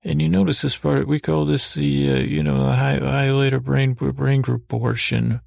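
A man hosting a bedtime podcast, rambling whimsically in a halting, meandering monologue with repeated half-words. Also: mid-range voice, flat delivery, vocal fry, a little mellow, dry recording, studio quality. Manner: whimsical, mock-serious. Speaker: podcast host. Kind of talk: rambling bedtime monologue to help listeners sleep.

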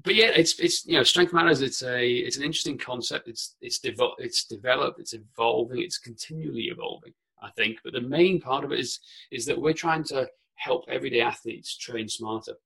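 A man's voice: medium pitch, a little fast, vocal fry, sharp tone, husky, low-quality recording. A Welsh man whose first language is Welsh, a podcast guest chatting casually from a spare room at home over a remote call, often restarting his words. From Wales. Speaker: podcast guest, company founder. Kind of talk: casual interview chat.

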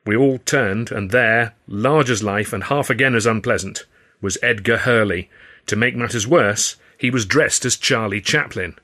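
Bright-voiced male story narrator. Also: on the low side, normal speed. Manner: expressive storytelling. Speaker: author and audiobook narrator. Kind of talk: scripted first-person story narration.

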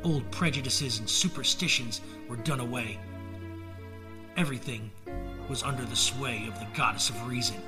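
A man narrating a gothic tale as a storyteller, measured and theatrical. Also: mid-range voice, over music. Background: musical score.

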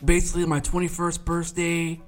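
A man's voice: fairly high pitch, completely flat delivery, brisk. A man in his late twenties co-hosting a casual sports podcast, chatty and rambling, with plenty of fillers and frequent laughter. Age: 20s. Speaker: podcast co-host.

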